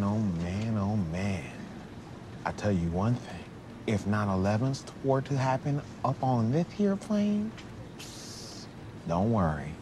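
A cocky, slow-talking male passenger who drags out every word and swings his pitch all over the place. He sounds full of swagger, and the comedy sketch audio comes through with a clear layer of noise. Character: cocky male airline passenger, self-appointed hero.

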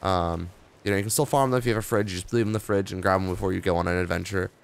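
A young man with a hoarse, worn-out voice, rambling casually through game patch notes as he plays. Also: deep voice, steady tone, racing. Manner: rambling, offhand, reading patch notes aloud with asides. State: hoarse, tired voice. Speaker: gaming video host. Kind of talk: casual gameplay commentary.

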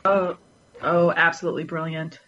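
A woman's voice: low-pitched, steady tone, normal speed, a bit bright, lo-fi sound. A woman chatting casually as a fan on a podcast panel.

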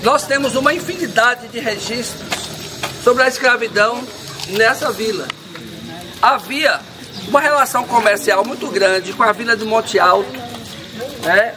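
A man with a Brazilian Portuguese accent giving a history talk to a group in a town square, recorded from a distance by the camera. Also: very high voice. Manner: lecturing, steady explanatory delivery with fillers. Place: sunny town square outdoors. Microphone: far camera. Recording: distant outdoor voice with background noise.

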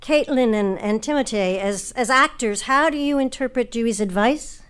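A woman's voice: a little high, normal speed, clear, resonant tone. A woman hosting a radio show, reading prepared questions and announcements. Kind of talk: scripted hosting and interviewing.

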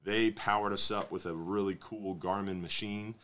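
A man in his thirties hosting a live fishing Q&A stream from a studio desk, reading viewer questions and answering them in a relaxed, conversational way. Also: fairly low pitch, normal speed. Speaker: fishing show host. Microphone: desk microphone on stand.

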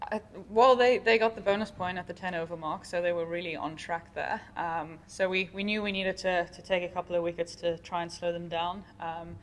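A woman's voice: lowish voice, fairly even intonation, brisk, hardly any pauses. A young woman cricket player giving casual answers at a post-match press conference. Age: young adult. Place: indoor press conference room.

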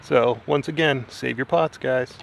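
A man's voice: medium pitch, measured pace, creaky, a little hiss or hum. A man in his thirties talking casually to his camera outdoors in his garden, thinking aloud with mild worry. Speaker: home gardener vlogger. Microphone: camera held at arm's length, outdoors.

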